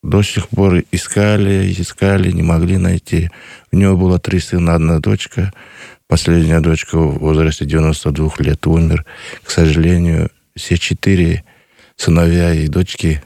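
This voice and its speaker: A man, answering a radio interviewer earnestly and slowly, with many pauses. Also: low-pitched, little pitch movement, vocal fry, mellow, dry recording, studio quality. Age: 50s. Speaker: guest, grandson of a missing soldier.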